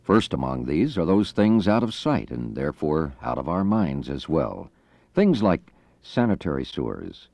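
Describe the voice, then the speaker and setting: A man narrates a formal public-information script. His voice is very deep with extremely animated intonation, recorded dry and close, and he sounds earnest and measured. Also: gravelly, no room sound. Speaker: announcer.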